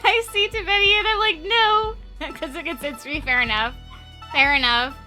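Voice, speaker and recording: A woman's voice: very high voice, moderate pace, pushed and bright, faint music behind. A woman in her twenties chatting casually with viewers on a game livestream, with a chatty, bubbly, giggly manner. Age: 20s. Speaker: game streamer.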